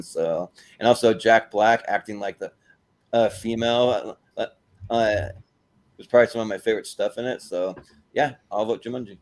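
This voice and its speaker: A man's voice: mid-range voice, darkish tone. A man hosting and chatting on a casual movie panel in an informal, joking way. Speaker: host and panelist.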